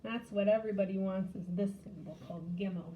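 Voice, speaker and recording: A woman's voice: medium pitch, steady tone, quick pace, lo-fi sound, slight background noise. A woman hosting a children's library storytime, casually showing a craft and game step by step in simple words.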